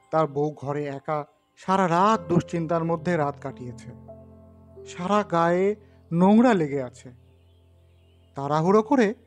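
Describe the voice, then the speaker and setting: High-voiced male ghost-story narrator. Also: a little mellow. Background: background music.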